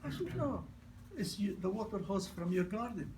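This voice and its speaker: A gravelly-voiced older man with a non-native accent pitches a product in loose, broken English and drifts into personal stories. His voice sits a little high, and he is caught from a distance with a faint hum of the room behind him. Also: expressive, normal speed. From grew up in New York. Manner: broken grammar, repeats and restarts, storytelling. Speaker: product presenter. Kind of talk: informal sales presentation with anecdotes.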